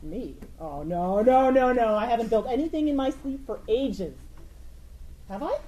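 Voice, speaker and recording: A woman's voice: on the high side, lively intonation, moderate pace, warm tone, a little hiss or hum, lightly acted. A woman playing a plucky, easily exasperated young inventor heroine in a comic radio play. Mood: sheepish.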